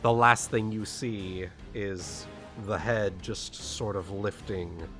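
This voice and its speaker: A man's voice: fairly low pitch, slow. A man narrating and chatting casually as game master of a tabletop roleplaying game. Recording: faint music behind the voice.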